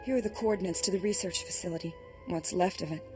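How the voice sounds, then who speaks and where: A woman's voice: on the low side, quick pace, music in the background, lightly acted. A woman playing an earnest, shaken research worker in a video game, with a dramatic delivery. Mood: urgent. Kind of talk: scripted video game acting.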